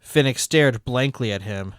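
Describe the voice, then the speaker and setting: A man narrating a fan fiction story in a plain, even way. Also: medium pitch, a little slow, clean tone, dry recording, clean studio sound. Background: background music.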